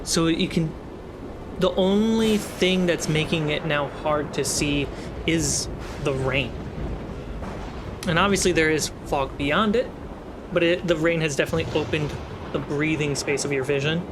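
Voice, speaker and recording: A man runs a tabletop fantasy game as its storyteller. His voice sits a little high and he takes his time, pausing for drama. Faint storm ambience runs underneath. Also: noisy background. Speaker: game master. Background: storm and ship sound effects.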